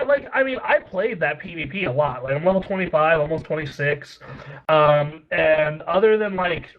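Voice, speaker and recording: Fairly high-voiced man, slightly dull audio. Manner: brisk, filler-heavy with many "like"s. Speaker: podcast host. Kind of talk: casual opinionated chat.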